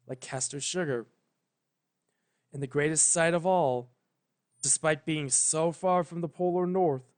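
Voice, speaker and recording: A narrator reading a dramatic story in a measured, solemn manner, pausing between phrases. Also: medium pitch, dry recording. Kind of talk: scripted dramatic fan fiction narration.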